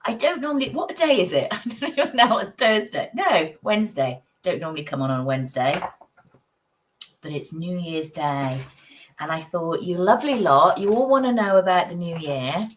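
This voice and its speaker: A woman who is husky from a cold, chatting casually with viewers as a psychic livestream host in her home office. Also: fairly low pitch, lively intonation, moderate pace, slight background noise. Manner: chatty, warm greetings, frequent little laughs.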